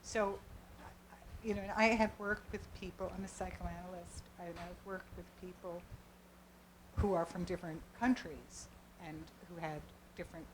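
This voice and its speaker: A woman in the audience poses a long, rambling question to a panel. Her voice is slightly low, with faint background noise around her. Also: fairly even intonation, normal speed, poor audio. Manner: earnest, rambling. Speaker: audience member asking a question. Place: event hall.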